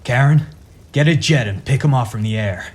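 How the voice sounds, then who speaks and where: A man's voice: mid-range voice, moderate pace, close to an everyday voice. A man playing a brash, protective superhero father who leads his team, earnest and wry, in a radio play. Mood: commanding.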